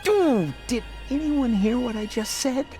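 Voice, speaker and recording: A man's voice: extremely high pitch, lively intonation, measured pace. A man voicing a chatty, self-promoting young scoundrel guide in an anime-style game, glib and theatrical. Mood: exasperated. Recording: faint music behind.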